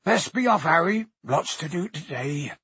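Male narrator as gruff giant gamekeeper, very raspy, raised pitch, folksy, hearty, proud, storybook character. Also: animated, normal speed, pushed and bright, no room sound, full character voice. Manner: folksy, colloquial, dropped words.

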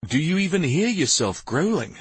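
A man's voice: a little high, sing-song, moderate pace, breathy edge, no echo, voice pushed up, full character voice. A man voicing a prince's loyal male companion in an audiobook, frank, earnest and concerned.

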